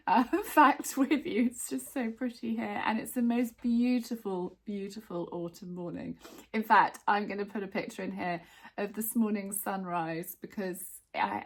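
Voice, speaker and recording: A woman giving chatty laundry tips in a casual vlog, sounding a bit croaky. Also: mid-range voice. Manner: chatty, friendly asides to viewers. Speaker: lifestyle vlogger.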